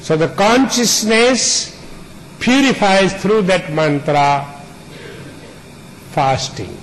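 A man with a noticeably high voice gives a spiritual teaching at a very slow, deliberate pace. He speaks earnestly, stacking up repeated lists of words. A faint hiss of background noise runs under him. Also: lively intonation, rough recording. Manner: unhurried, builds long repeated lists. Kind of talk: spiritual discourse to an audience.

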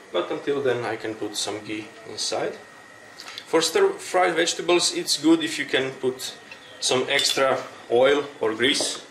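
A man with a Croatian accent giving an informal cooking demonstration, explaining things in a halting way. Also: medium pitch, a bit bright. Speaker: cooking instructor. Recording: roomy, distant mic with clear background noise.

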